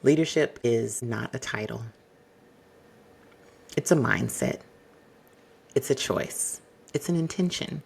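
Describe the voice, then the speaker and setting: Podcast host guy, pretty monotone, raspy gravelly voice, polished and exact. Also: mid-range voice. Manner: measured, exacting, addresses listener directly. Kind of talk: advice podcast monologue.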